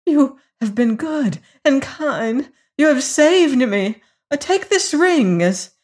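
A woman's voice: fairly low pitch, steady tone, moderate pace, natural voice. A woman voicing a haughty, frivolous and frightened society lady in an audiobook story, with a stammering, pleading, indignant manner. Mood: whimpering, pleading.

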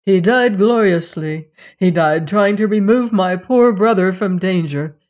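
A woman's voice: mid-range voice, a little slow, a little mellow, close to an everyday voice. A woman voicing an earnest, solemn young nobleman in a storybook tale.

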